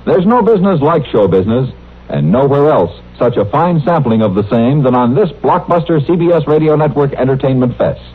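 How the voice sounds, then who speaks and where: Animated American vintage radio announcer man. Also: mid-range voice, a little fast, husky. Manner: polished, promotional. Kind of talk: 1950s-60s network radio announcing, scripted. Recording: dull old radio broadcast recording.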